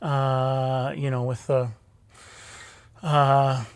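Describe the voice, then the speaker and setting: A man hosting a live talk show interview, chatty and halting, with many restarts as he looks for words. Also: medium pitch, flat delivery, clear, resonant tone, dry recording. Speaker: host. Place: theatre stage, live show.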